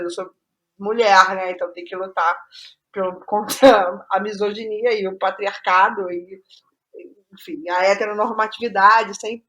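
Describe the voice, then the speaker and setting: A middle-aged Brazilian woman speaking as an interview guest, reflective and halting, qualifying her own words as she goes. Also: a little high, sharp tone, poor audio. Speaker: guest playwright.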